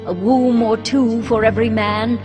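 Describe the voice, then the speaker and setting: An actress as an ancient woman proclaiming victory in a high voice over loud music. She declaims scripture like poetry, solemn and mocking. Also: fairly even intonation, normal speed, natural voice. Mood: mocking, dramatic. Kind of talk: dramatized scripture reading. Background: prominent music.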